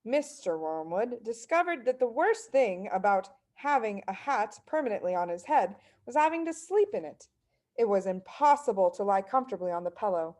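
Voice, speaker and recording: A woman narrating a children's book as story reader, in an expressive read-aloud style. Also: medium pitch, moderate pace.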